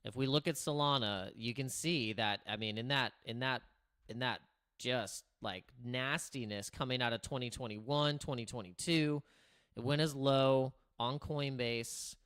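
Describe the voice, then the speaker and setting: A man with a naturally raspy voice hosting a casual livestream, talking loosely with lots of restarts and filler words into a streaming microphone. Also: medium pitch, fairly bright tone. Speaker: crypto livestream host. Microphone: streaming microphone through streaming software.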